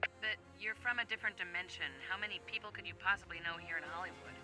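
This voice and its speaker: A woman playing a mildly exasperated session director in a comic game scene, brisk and questioning. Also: fairly low pitch, steady tone, close to an everyday voice. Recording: music behind.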